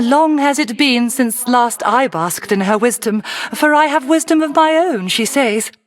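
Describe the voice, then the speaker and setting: An eccentric, affectionate island witch for a fantasy game. Her voice sits high and swoops freely through a wide, lilting range. She is effusive and sentimental, musing in airy, theatrical, old-fashioned phrases. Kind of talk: theatrical fantasy video game dialogue in archaic English.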